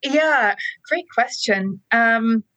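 A woman's voice: on the high side, lively intonation, very slow, a bit bright, no echo, lo-fi sound. A woman in her forties with an Irish accent, an enthusiastic, chatty storyteller speaking as a guest over a remote call. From Ireland. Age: 40s. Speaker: guest, education app co-founder. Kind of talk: podcast interview.

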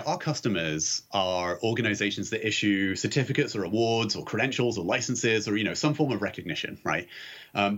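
A man's voice: fairly low pitch, a bit bright, slightly rough, muffled recording. A young British man from the UK, a startup CEO answering interview questions eagerly, often repeating words as he starts a sentence.

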